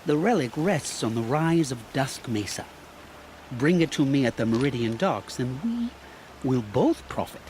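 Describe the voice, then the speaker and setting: A man's voice: medium pitch, expressive, moderate pace, darkish tone, slight background noise, lightly acted. An actor playing a sly, ingratiating middle-aged trader in a video game, with theatrical salesman's patter. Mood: smooth, scheming. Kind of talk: video game dialogue acting.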